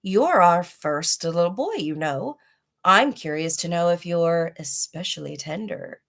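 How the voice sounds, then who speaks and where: A hungry, menacing tiger from a children's storybook, acted out by a woman reader. It taunts its prey and speaks deliberately, relishing the threat. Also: lowish voice, animated, gravelly. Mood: hungry, eager. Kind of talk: storybook read-aloud.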